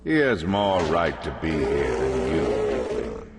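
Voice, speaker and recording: Male game role: stern primeval being, cavernously low, slow, threatening, ambient noise behind. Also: expressive, vocal fry, heavily acted. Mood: grim. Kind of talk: video game cutscene acting.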